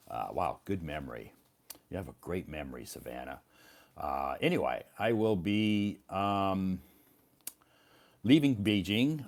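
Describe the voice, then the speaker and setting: Deep-voiced guy from iowa chatting on a live stream for english learners, bouncy up and down pitch, slow and repetitive on purpose. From Iowa, United States.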